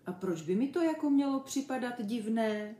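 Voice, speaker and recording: A woman's voice: fairly high pitch, lively intonation, mellow, voice pushed up, natural voice. A woman voicing a naive, puzzled little male dog in a children's story, hesitant and full of wonder. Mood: timid confusion. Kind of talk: children's story character reading. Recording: roomy echo.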